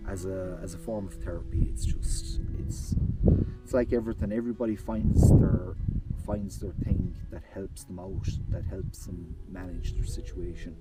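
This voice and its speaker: A man's voice: low voice, steady tone, moderate pace. A young Irish man from the rural west of Ireland, talking reflectively in a casual interview with frequent restarts and fillers, recorded outdoors on a hillside. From Ireland (rural Galway). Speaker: interview guest. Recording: distant mic with loud music bed.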